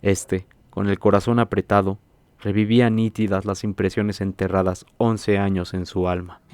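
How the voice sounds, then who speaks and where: A man narrating a literary story in a measured, scripted audiobook reading. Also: fairly low pitch, level tone, a little mellow, no room sound.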